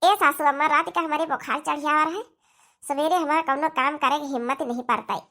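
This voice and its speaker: Woman voicing high-pitched plaintive young daughter-in-law, Awadhi accent. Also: fairly quick, pushed and bright. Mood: pleading. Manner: plaintive, complaining. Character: aggrieved, feverish young daughter-in-law pleading for sympathy. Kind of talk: comedy cartoon voice acting.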